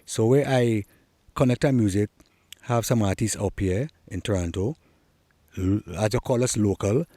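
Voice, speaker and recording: Trinidadian man, low mellow voice. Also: moderate pace, dry recording. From Trinidad and Tobago. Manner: earnest, encouraging, repeats key points. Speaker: soca artist guest. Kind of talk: interview answers.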